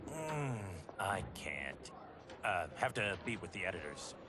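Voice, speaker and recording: An actor playing a busy, evasive film director, brisk and noncommittal, in a cinematic video game. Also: male voice, deep voice, big pitch swings. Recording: clearly noisy film-set ambience.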